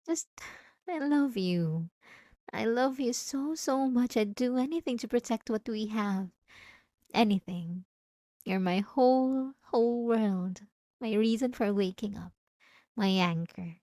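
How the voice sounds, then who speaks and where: A woman's voice: fairly high pitch, animated, takes it slowly, pauses often, warm tone, no echo, studio quality. A woman playing a possessive, obsessive wife in an intimate, emotional ASMR roleplay, speaking straight to the listener. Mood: soft, forgiving, clingy. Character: possessive, obsessive yandere wife, clingy and menacing.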